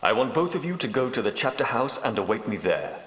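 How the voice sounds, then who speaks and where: An actor playing a solemn, commanding medieval knight and prophetic leader, speaking in grave, formal, archaic oratory for a video game. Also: male voice, medium pitch, sing-song, brisk, a bit bright. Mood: solemn instruction. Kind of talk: theatrical video game character dialogue. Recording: roomy echo, slightly distant from mic.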